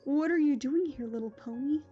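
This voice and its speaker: A woman playing a calm, kindly royal pony ruler in an audio drama, speaking in a gentle, measured and reassuring way. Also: high-pitched, natural voice. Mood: gentle, caring. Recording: muffled, dull audio under music.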